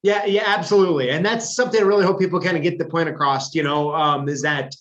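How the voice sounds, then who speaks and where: A man's voice: a little high, quick pace, fairly bright tone, dull audio. A male show host interviewing a guest, talking casually and enthusiastically with lots of fillers and rambling asides.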